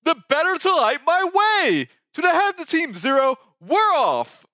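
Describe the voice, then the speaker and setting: A performer voicing a lanky skeleton Pumpkin King from an animated musical, grandiose, excitable and melodramatic, with sweeping theatrical delivery. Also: extremely high pitch, moderate pace, dry recording, dull audio, exaggerated voice. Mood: excited. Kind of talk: fan re-recording of animated musical film lines.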